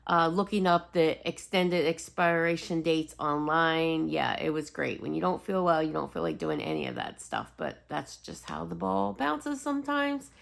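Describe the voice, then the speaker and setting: A woman vlogger chatting casually and rambling apologetically, slightly raspy and sniffly as she gets over a cold. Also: low voice, very animated, moderate pace, few pauses. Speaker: host of a home fragrance video channel. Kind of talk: casual rambling vlog chat.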